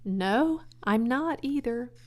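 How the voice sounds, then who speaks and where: A woman's voice: on the high side, lively intonation, takes it slowly, a little mellow, voice pushed up. A woman voicing a wise, patient female spider who explains things precisely in a storybook. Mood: matter-of-fact.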